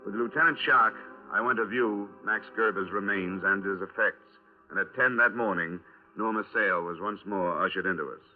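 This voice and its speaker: A man's voice: fairly low pitch, moderate pace, close to an everyday voice. An actor playing a terse, matter-of-fact insurance investigator who narrates his case in a 1950s radio crime drama. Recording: restored 1950s radio, narrow band, faint music.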